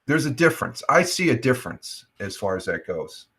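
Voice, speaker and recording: A man's voice: medium pitch, very animated, fairly quick, fairly bright tone, breathy edge. An older American man hosting a casual livestream chat, rambling with many fillers and restarts. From United States. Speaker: livestream host.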